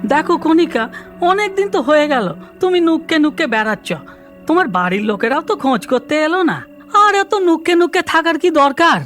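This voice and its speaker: Very high swooping voice, woman playing jittery rustic male labourer, stammering, kind-hearted, cartoon acting, music behind. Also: expressive, moderate pace, fairly bright tone. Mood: kindly. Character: simple, jittery, kind-hearted male cremation-ground worker. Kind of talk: Bengali horror cartoon dialogue.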